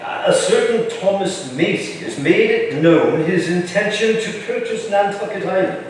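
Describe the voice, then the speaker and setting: An actor playing an imperious, shrewd older colonial governor with a formal, wry, commanding manner in a staged reading. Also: male voice, high-pitched, measured pace. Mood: brisk, shrewd. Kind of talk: staged reading of a historical play. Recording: echoey room from far off, faint noise.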